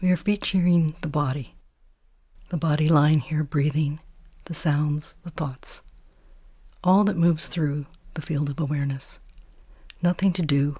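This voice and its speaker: A woman leading a guided body scan meditation as a teacher, in a soft, hushed, soothing manner with measured phrasing. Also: sits low, steady tone, breathy edge, dry recording.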